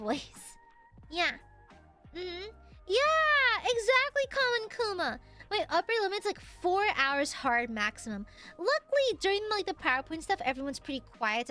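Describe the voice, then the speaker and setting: High, lively, nasal young woman streamer. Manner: rambling, giggly, swears casually. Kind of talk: casual stream chat with viewers.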